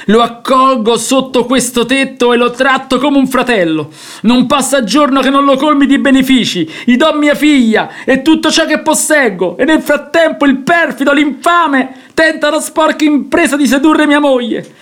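Radio drama, duped middle-aged father, agitated and declamatory, voice pitched very high for a man. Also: normal speed, fairly bright tone. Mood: indignant, bitter. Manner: declamatory, aggrieved. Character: agitated, gullible father and master of the house, betrayed. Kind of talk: theatrical radio drama acting.

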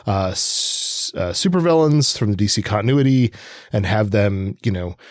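A man with a fairly low voice co-hosts a conversational podcast. He explains in a halting, informal way, assembling sentences as he goes. The sound is dry and close, without room echo. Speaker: podcast co-host.

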